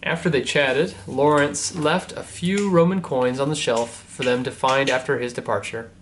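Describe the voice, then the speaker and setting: A man, a priest, reading a saint's storybook aloud to children in a measured way with casual asides. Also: mid-range voice, fairly even intonation, slight background noise.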